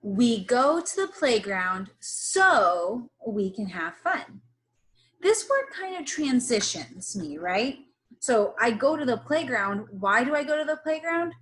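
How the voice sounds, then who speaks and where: A woman teacher leading a reading lesson for young children, instructing in an encouraging way with pauses for them to repeat. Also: fairly high pitch, big pitch swings, a bit bright. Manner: instructional, encouraging, pausing for repetition. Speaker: early-grades teacher. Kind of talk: slow read-aloud phonics lesson for young children.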